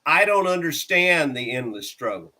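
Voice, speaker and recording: A man's voice: on the high side, big pitch swings, normal speed, bright, forceful tone. A man in a casual chat, patiently explaining phrases and spelling words out letter by letter.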